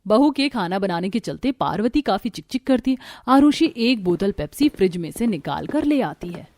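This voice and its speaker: A woman's voice: mid-range voice, lively intonation, moderate pace, a little mellow, dry recording. A woman narrating a scripted cartoon family story.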